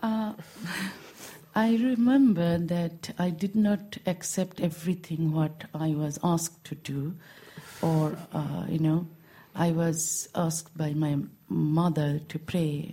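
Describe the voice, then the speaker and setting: Slow, husky woman. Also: low voice, fairly even intonation, mellow. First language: Bengali. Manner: slow, halting, with many fillers. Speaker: guest. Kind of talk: interview answers in English.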